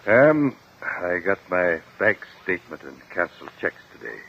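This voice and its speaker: Low-voiced stern uncle, old radio. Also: male voice, sing-song, moderate pace, creaky, heavily acted. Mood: grave. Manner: firm, admonishing. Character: stern older uncle and guardian, exasperated but principled. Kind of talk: 1950s radio drama acting. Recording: dull lo-fi old radio recording.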